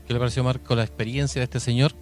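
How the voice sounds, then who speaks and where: A man's voice: medium pitch, level tone, very fast, a little mellow. A man with a Chilean accent hosting a radio show in a conversational way, hesitantly searching for his words. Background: music.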